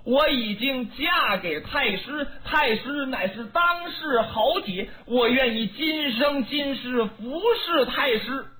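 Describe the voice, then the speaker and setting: A storyteller voicing a young woman who sobs and pleads in a high, plaintive voice. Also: lively intonation, slow pace, hardly any pauses, bright, forceful tone, exaggerated voice, low-quality recording. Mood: weeping. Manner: sobbing, high plaintive wailing.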